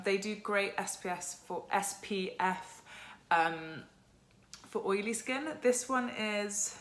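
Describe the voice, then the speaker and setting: A young woman with a croaky voice chatting casually to camera about her skincare routine, filling her talk with "like" and "um". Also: mid-range voice, fairly bright tone. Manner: chatty, lots of "like" and "um". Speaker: skincare vlogger. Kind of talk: casual product chat to camera.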